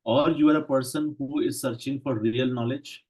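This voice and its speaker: Man, lecturer, husky voice, short phrases, pauses for interpreter. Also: mid-range voice, steady tone, darkish tone, low-quality recording. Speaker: Ayurveda lecturer. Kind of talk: lecture in English, interpreted consecutively.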